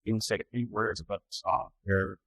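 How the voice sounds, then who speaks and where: A man's voice: fairly low pitch, steady tone, a little fast, creaky, a bit bright, breathy edge, no room sound. A man in the audience asking a spontaneous, hesitant question at a conference.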